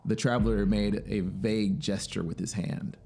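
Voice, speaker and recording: Low-voiced man narrating a literary story. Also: steady tone, moderate pace, creaky, darkish tone, slightly rough. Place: small home music studio. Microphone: close studio microphone on boom arm. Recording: ambient soundscape noise behind voice. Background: synthesizer soundscape.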